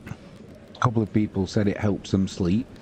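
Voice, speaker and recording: A man in his fifties with a British accent, hosting a live football stream, chatting slowly and reading out scores in an unhurried, relaxed way. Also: fairly low pitch, slight background noise. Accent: British English. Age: 50s. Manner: slow, unhurried, chatty, reads scores aloud. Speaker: football commentator and host.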